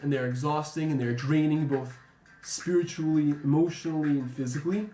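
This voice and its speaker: A young American man who served as a soldier, speaking in a measured, reflective way with some ums. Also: fairly high pitch, fairly even intonation, mellow, roomy sound. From United States. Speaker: former soldier interviewee. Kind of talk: earnest interview testimony.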